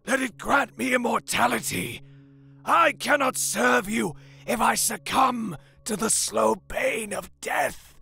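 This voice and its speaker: Screeching, gravelly rotting cultist sorcerer. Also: male voice, very high voice, slow, bright, forceful tone, voice pushed up, full character voice. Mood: pleading zeal. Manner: theatrical, chanting and proclaiming. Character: fanatical rotting male sorcerer cultist craving immortality. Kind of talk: dramatic audio story.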